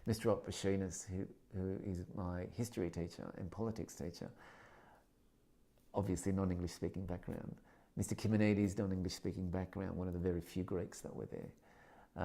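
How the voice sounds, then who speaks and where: A deep, mellow-voiced Australian man in his fifties reflects on his life as a teacher. He speaks in a measured, thoughtful way, pausing and restarting phrases as he gathers his ideas. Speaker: secondary school teacher. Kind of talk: reflective interview testimony.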